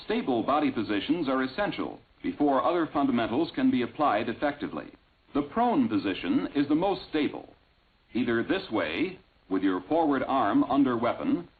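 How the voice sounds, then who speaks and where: A man reading scripted instructional narration in a measured, clearly enunciated way, on an old film soundtrack. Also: medium pitch. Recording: tinny, band-limited vintage film soundtrack.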